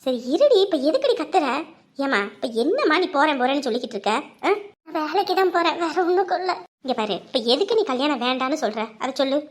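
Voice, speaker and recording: Brief: woman playing a soft, low-voiced older elder, patient and coaxing. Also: very animated, fast. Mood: gentle. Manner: low, gentle, coaxing with proverbs.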